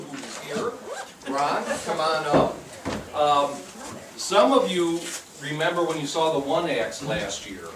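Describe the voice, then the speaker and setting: Informal male host fielding audience feedback, picked up from a distance with clear noise. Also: on the high side. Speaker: theatre company host. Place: indoor theatre stage. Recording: roomy theatre, distant mic, noisy.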